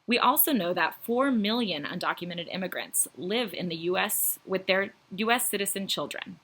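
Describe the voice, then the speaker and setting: Bright, slightly husky woman presenter. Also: medium pitch, animated, normal speed. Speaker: presenter of an educational video. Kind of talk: scripted informational narration.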